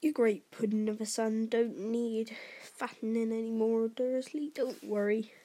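A woman voicing a huge, gruff, hot-tempered male giant with rustic speech and booming, growling outbursts in a storybook reading. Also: level tone, a little slow, warm tone. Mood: gruff, indignant. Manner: rustic dialect, emphatic outbursts.

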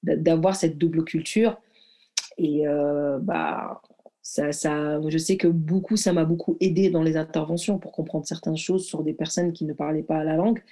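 Guest, woman in her thirties, from Morocco; relaxed, halting storyteller with a dark, soft tone. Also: deep voice. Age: 30s. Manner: reflective, halting, lots of fillers and restarts. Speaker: guest athlete and former firefighter. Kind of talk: casual conversational storytelling.

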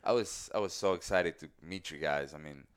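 Ecuadorian-accented male guest, very low, very clean resonant tone, relaxed and halting. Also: smooth. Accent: Ecuadorian Spanish. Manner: easygoing, halting, laughs often. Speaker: guest, athlete and coach. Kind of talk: casual interview chat.

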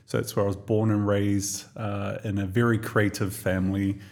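A man's voice: on the low side, level tone, normal speed, gravelly, a little mellow. A man, telling his own story in a reflective, chatty way on a podcast. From New Zealand. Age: 40s. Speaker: podcast co-host and guest. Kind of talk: casual personal storytelling.

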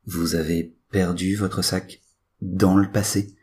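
A man's voice: sits low, little pitch movement, slow, darkish tone, slight background noise, pitched above the performer's own voice. A man playing an anxious, exasperated professor, agitated, bossy and scolding, in a comic audio story. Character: anxious, exasperated male professor, easily angered.

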